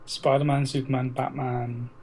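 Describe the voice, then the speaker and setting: A man's voice: mid-range voice, level tone, moderate pace, darkish tone, slight background noise. A man co-hosting a casual podcast, talking enthusiastically and bantering with some swearing. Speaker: podcast co-host.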